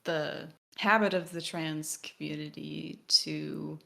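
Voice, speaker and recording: A woman's voice: low voice, fairly even intonation, takes it slowly, gravelly, pushed and bright. A woman co-hosting a podcast, giving casual analysis in an emphatic, wry way and acting out imagined quotes. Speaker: podcast co-host.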